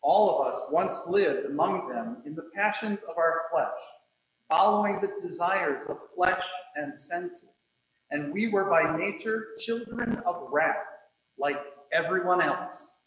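A man giving a solemn scripture reading at a church service, with deliberate, measured phrasing. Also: a little high, lively intonation, a bit bright. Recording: very echoey room, slightly muffled.

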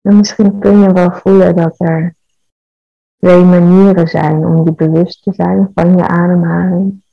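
Very slow, gravelly woman guiding meditation. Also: on the low side, no echo, narrow, tinny audio. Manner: calm, measured, soothing. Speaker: guided meditation leader. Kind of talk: guided meditation.

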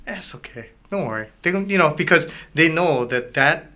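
Bright-voiced man host telling stories. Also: a little high, animated, moderate pace. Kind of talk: casual group chat.

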